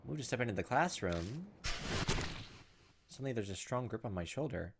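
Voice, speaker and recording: A man doing casual gameplay commentary, thinking aloud in an informal, self-deprecating way and reading game text out loud. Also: mid-range voice, animated, moderate pace, vocal fry, very noisy. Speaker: gaming video host.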